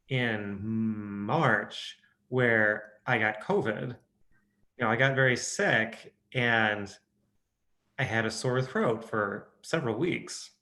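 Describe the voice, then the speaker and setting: A man's voice: fairly low pitch, a bit bright. Hesitant man with a Midwestern accent. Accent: Midwestern American. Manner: hesitant, many restarts and fillers. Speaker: audiobook narrator. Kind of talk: casual interview chat.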